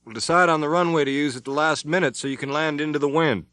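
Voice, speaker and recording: A man's voice: a little high, fast, a bit bright, no room sound, studio quality, pitched above the performer's own voice, close to an everyday voice. A man voicing a commanding airline captain who gives step-by-step radio instructions. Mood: coaching. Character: middle-aged airline captain, firm and commanding. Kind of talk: audiobook character voice.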